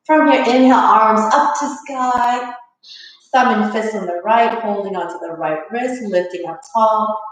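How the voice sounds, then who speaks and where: A woman leading a live online yoga class, her voice a little rough from allergies, giving calm, guiding cues. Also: mid-range voice, unhurried, distant mic. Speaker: yoga instructor. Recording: echoey room, away from the mic.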